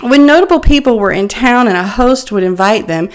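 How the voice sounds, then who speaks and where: A woman reading a scripted sermon in a measured, expository way, mixing storytelling with scripture. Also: on the high side, lively intonation.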